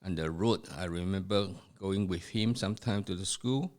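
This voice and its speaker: An older man from Singapore, recalling family history in an interview with his son, speaking haltingly with many fillers and restarts. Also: deep voice, darkish tone. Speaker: podcast guest, host's father.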